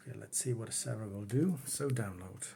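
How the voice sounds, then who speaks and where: Brief: Czech man speaking English, mellow tone, plain easygoing hobbyist, home workroom, keyboard clicks behind. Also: lowish voice, gravelly, a little hiss or hum. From Czech Republic. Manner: unhurried, plain, talks while working the computer. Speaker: retro computing hobbyist. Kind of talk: casual home demo walkthrough.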